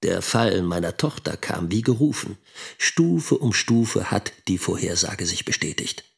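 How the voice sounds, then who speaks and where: Husky, lecturing middle-aged teacher. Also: male voice, low voice, lively intonation, a little slow, full character voice. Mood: didactic, sardonic. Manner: didactic, enumerating, emphatic. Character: critical, lecturing middle-aged schoolteacher and father. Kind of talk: radio drama monologue.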